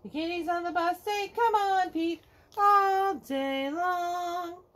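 Slow, high-voiced woman singing a picture book. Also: a bit bright. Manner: slow sing-song, singing the verses. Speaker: storyteller reading a children's picture book. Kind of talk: read-aloud sung picture book for kids. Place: home living room, indoors.

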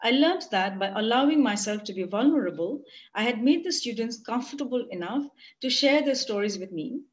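A woman in her forties with an Indian accent, giving a prepared academic conference talk in a measured, earnest way over a video call. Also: fairly high pitch, lively intonation. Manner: measured, earnest, read from notes. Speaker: conference presenter. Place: home room, video call. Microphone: computer mic on a video call. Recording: muffled lo-fi video call audio.

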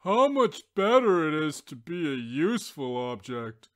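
A woman's voice: sits low, big pitch swings, a little slow, voice pushed down. A woman doing a blunt stove lifter. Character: practical plain-spoken stove lifter.

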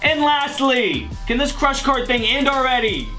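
A man's voice: very high voice, unhurried, faint music behind. A young man hosting a comedy sketch, ranting with exasperated sarcasm at a desk at home. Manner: exasperated, sarcastic, animated rants. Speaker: YouTuber host. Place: home room with posters, indoors.

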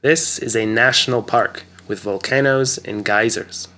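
A man narrating an English lesson, explaining words carefully and clearly. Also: lowish voice, unhurried, sharp tone. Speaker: English teacher. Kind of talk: slow scripted lesson narration.

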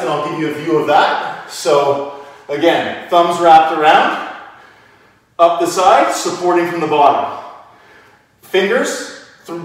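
Echoey, distant man coaching an exercise. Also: fairly high pitch. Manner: informal, step-by-step explaining while lifting a kettlebell. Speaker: fitness coach and video host. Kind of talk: exercise demonstration, instructional. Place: indoor home gym with hard walls. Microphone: camera several meters away. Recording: very echoey room, distant mic.

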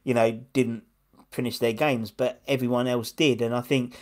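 A man's voice: medium pitch, level tone, moderate pace, a little mellow. A man hosting a casual video-call chat from home, rambling with lots of restarts. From England. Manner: rambling, chatty, many restarts. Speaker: host. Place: home room lit pink. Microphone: wired earphones on video call.

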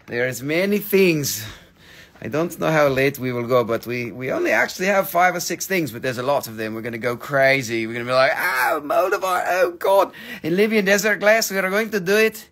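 A man's voice: a little high, lively intonation, fairly quick, a bit bright. A man doing a stiff, prim foreign host. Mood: grand. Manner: stilted, overly formal phrasing. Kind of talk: live-stream sales banter.

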